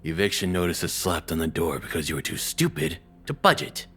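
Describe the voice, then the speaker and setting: An abusive, controlling ex-boyfriend, performed by a man speaks in an extremely deep, very raspy voice. He is domineering and contemptuous, delivering each taunt with deliberate menace. It is an intimate audio roleplay with faint music behind him. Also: big pitch swings, bright, forceful tone, full character voice. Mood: scornful.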